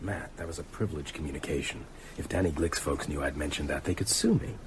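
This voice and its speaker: A small-town doctor in a radio drama, with faint ambience behind. His voice is deep, brisk and guarded, with a clinical edge. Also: slight background noise. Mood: defensive.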